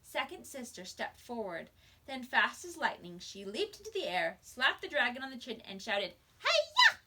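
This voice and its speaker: A woman teacher reading a picture book aloud to young children with expressive storytelling and dramatic pauses. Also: fairly high pitch, slight background noise. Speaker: kindergarten teacher.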